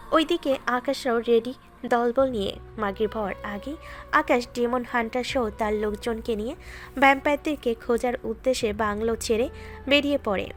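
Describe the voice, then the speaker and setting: Woman narrating a dramatic story. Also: fairly high pitch. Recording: music bed behind.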